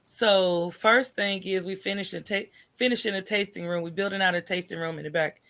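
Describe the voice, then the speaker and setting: A middle-aged American woman with a medium-pitched voice chats as a guest in an interview. She tells things in an animated, informal way, voicing asides and tagging her points with quick check-ins. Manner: animated storytelling, acts out quoted thoughts, often tags phrases with "right?". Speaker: interview guest, coffee shop owner. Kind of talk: casual interview conversation.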